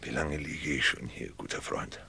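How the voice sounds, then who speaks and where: An actor playing a determined courier in a radio play, with a resolute, formal way of talking. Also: male voice, extremely low pitch, moderate pace, gravelly, bright, forceful tone, husky, heavily acted. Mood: weak, troubled. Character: determined young courier posing as a merchant. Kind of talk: German radio play acting.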